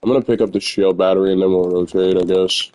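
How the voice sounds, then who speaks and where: A young man with a naturally loud voice, chatting candidly and rambling while he plays a video game at home. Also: low-pitched, fairly even intonation, fast, rough recording. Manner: rambling, candid, filler-heavy. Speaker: gamer streamer. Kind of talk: casual gameplay commentary.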